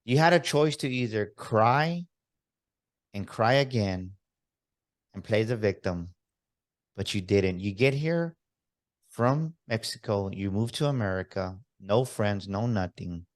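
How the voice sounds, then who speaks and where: A man's voice: fairly low pitch, animated, a little slow, long pauses. A man hosting a motivational podcast, talking with enthusiastic, emphatic hype-man energy. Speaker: podcast host. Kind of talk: upbeat motivational podcast interview.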